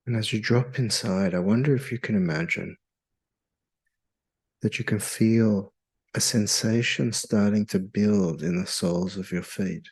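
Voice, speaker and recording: A man leading a guided meditation in a soothing, hypnotic, measured way with long pauses. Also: lowish voice, a little mellow, breathy edge.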